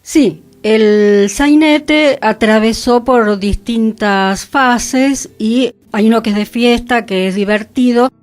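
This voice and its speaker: A woman's voice: on the high side, takes it slowly, few pauses. A woman with an Argentine accent from Buenos Aires, co-hosting an informative radio conversation. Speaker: radio co-host. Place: radio studio. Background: faint music.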